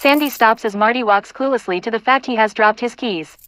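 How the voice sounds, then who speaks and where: Higher-pitched woman narrating a script. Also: a little fast, a bit bright. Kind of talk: scripted narration of stage directions.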